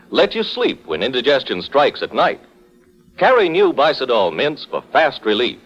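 A man reading a 1940s radio commercial with a punchy sales delivery. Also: mid-range voice. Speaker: commercial announcer. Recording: muffled old radio broadcast recording.

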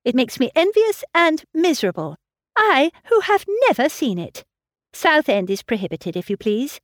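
A woman reading aloud voices a clever, tactful young woman with a brisk, teasing manner. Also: high voice, big pitch swings, dry recording, clean studio sound, pitched above the performer's own voice. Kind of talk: audiobook character reading.

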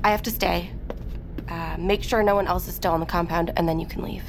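An actress playing a polite, capable but stressed personal assistant in a naturalistic audio drama. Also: female voice, on the low side, fairly even intonation, a little fast, background noise, natural voice. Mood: businesslike.

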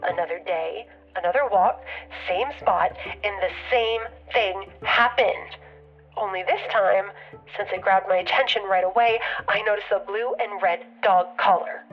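A woman's voice: medium pitch, unhurried. An actress with an American accent playing an anxious, grieving woman leaving a hesitant, rambling, confessional voicemail in an audio drama. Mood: wistful. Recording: muffled lo-fi phone message with music behind.

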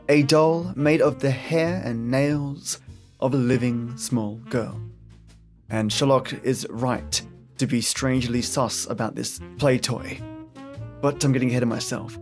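A male podcast host delivers a casual introduction at an ordinary pitch. Faint guitar music plays behind him.

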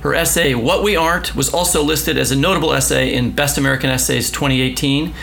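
A woman's voice: very deep voice, steady tone, quick pace, creaky, fairly bright tone, slight background noise. A woman hosting a podcast in a casual, playful way. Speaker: podcast co-host.

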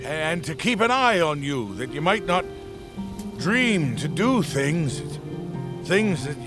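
Humble, expressive fantasy storyteller-servant. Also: male voice, on the high side, slow pace. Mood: guilty, confessing. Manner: pleading, formal, old-fashioned phrasing. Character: humble lowland storyteller, deferential servant to young master. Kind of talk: cinematic fantasy audio drama acting. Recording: music under the voice.

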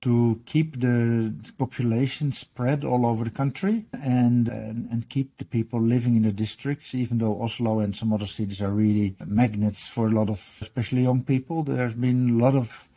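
Gravelly-voiced man. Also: mid-range voice, steady tone, dark tone. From Netherlands. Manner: halting, often repeats words and restarts. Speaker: guest, e-health journalist. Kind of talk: casual interview chat.

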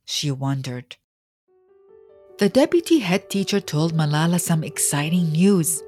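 A woman reading a scripted storybook biography as narrator, in a measured, expressive way with dramatic pauses. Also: low-pitched, breathy edge, soft background music.

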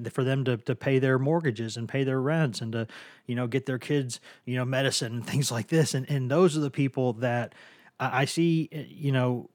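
A hoarse man hosting a sports podcast, talking casually and often restarting his sentences with repeated words. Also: medium pitch, darkish tone, dry recording, clean studio sound. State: hoarse from a lingering cough.

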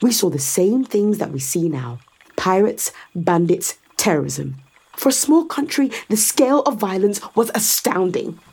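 A woman's voice: medium pitch, sing-song, a little slow, darkish tone, breathy edge, full character voice. A woman playing a powerful, calculating executive in a science fiction audio drama, sardonic and controlled as she persuades and explains. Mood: confiding, explaining.

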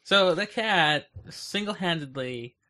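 A man co-hosting a casual podcast, talking informally in a halting, self-interrupting way. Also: on the high side, expressive, bright, forceful tone. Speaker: podcast co-host.

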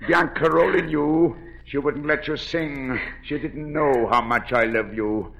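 A man's voice: fairly high pitch, fairly even intonation, a little slow, vocal fry, a bit bright. An actor playing a deranged, lovesick masked man of the cellars, coaxing and obsessive, in 1940s radio drama style. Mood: tender menace. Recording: dull, noisy 1940s radio broadcast.

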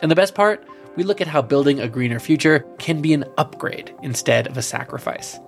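A man's voice: fairly high pitch, lively intonation, a little fast, creaky, soft background music. A man, the host of another podcast, reading a scripted promo in an upbeat, friendly way.

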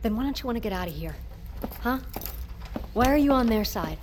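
A woman's voice: a little high, lively intonation, brisk, a little mellow, slight background noise, lightly acted. An actress playing an anxious, stubborn woman scientist in a naturalistic audio drama, argumentative and distrustful. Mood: exasperated, accusing.

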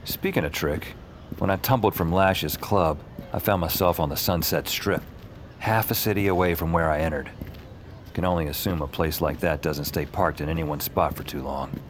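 An actor playing a weary, deadpan, sardonic dispatcher who narrates his own noir tale in a fiction podcast. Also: deep voice, moderate pace, slight background noise, close to an everyday voice. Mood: wry, storytelling.

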